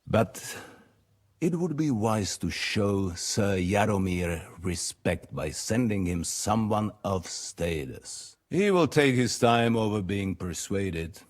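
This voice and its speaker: A man playing a shrewd, weary middle-aged lord in a video game, speaking in a formal, measured and commanding manner. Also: lowish voice, lively intonation. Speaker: medieval nobleman. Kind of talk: video game cutscene acting.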